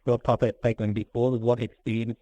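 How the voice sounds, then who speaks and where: A man questions management on a conference call. His delivery is formal, with a flat melody and a mid-range pitch. The recording is dry. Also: brisk, dry recording. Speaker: analyst asking questions on an earnings call. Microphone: conference call line.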